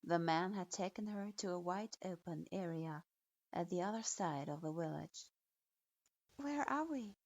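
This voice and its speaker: A woman narrating a dark fan-fiction story in a slow, measured reading voice. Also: lowish voice, steady tone, dull audio. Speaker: fanfiction reader.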